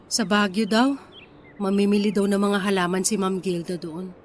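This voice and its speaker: A woman's voice: medium pitch, steady tone, moderate pace, slight background noise, lightly acted. A woman playing a suspicious, worried wife confiding in a younger sister in a radio drama, hesitant and defensive. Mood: distracted, evasive.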